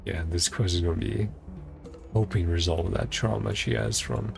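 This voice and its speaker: A man's voice: on the low side, steady tone, normal speed, creaky, over music. A man giving casual gameplay commentary as a streamer, musing aloud in a laid-back way. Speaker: game streamer.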